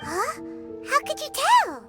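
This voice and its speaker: A tiny fairy sidekick from a fantasy game, with an extremely high, squeaky child's voice. The delivery swings around excitedly, cheeky and boastful, over prominent music. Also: female voice, slow pace, full character voice. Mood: eager. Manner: bubbly, indignant, refers to herself in third person. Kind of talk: video game voice acting.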